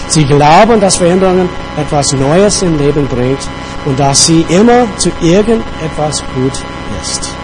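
Earnest preacher with a cold. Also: male voice, a little high, poor audio. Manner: earnest, conversational preaching with some hesitations. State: has a cold. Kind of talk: sermon. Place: church.

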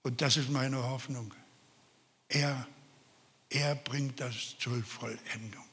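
Älterer Prediger, langsam und eindringlich. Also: male voice, mid-range voice, steady tone, slow pace, sharp tone, big-room sound. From Carpathian Ukraine. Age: older. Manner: earnest, emphatic, addresses listeners directly. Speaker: preacher. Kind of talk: sermon.

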